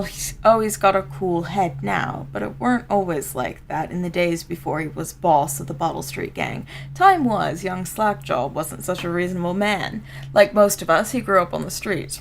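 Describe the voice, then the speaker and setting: A rough street-gang old hand , played by a woman, spins a tale in a folksy, colloquial way with a Southern American accent. The voice sits a little low and crackles with fry, over faint noise, in the style of a video game story read aloud. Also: normal speed, few pauses. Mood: reminiscing. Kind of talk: dramatic first-person story reading.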